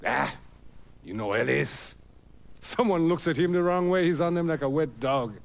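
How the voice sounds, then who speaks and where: Stern older man, lively pitch. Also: normal speed, gravelly. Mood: weary, guarded. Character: stern, proud older father running a neighborhood racket. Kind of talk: video game cutscene acting.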